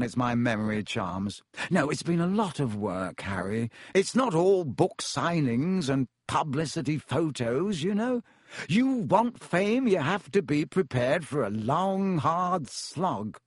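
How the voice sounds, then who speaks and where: A man playing a preening, conceited wizard who drawls slowly and condescendingly. His voice rises and falls with showy flourish, read close and dry as a storybook character. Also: fairly high pitch, big pitch swings, dry recording, exaggerated voice. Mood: smug. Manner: smooth, self-satisfied, condescending. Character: vain, pompous fraud of a male wizard teacher.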